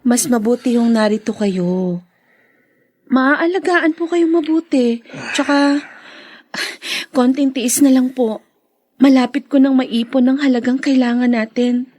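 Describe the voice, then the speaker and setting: A sweet young Filipino woman, a devoted daughter in a radio drama. Her voice is high and soft-hearted, earnest throughout. The recording is dry. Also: a little slow, long pauses, dry recording. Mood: gentle, reassuring. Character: sweet, dutiful young daughter working to save her father.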